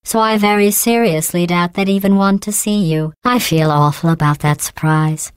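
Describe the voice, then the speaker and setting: Cold sarcastic AI overseer played by a woman, deadpan, clipped, low for a woman, smooth, dry studio sound, video game. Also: moderate pace, dry recording, natural voice. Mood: mocking. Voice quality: calm, clipped, deadpan menace. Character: cold sarcastic female AI running test chambers.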